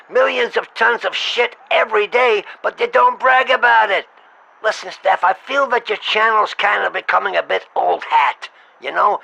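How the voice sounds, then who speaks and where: A man's voice: high-pitched, creaky, pushed and bright, exaggerated voice. A man playing an exasperated, foul-mouthed talent agent in a comedy phone-call sketch, blunt and sarcastic as he scolds his client. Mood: blunt complaining. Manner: blunt, scolding, sarcastic, talking over the other.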